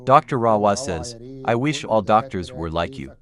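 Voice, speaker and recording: Middle-aged male host, low-pitched and animated, breaks down medical studies for a live audience. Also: moderate pace. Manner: animated, talks directly to viewers, reads out comments. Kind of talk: live-stream commentary on research.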